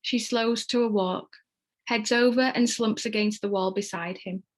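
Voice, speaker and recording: A woman's voice: fairly high pitch, fairly even intonation, unhurried. A woman reading stage directions as scripted narration for a table read.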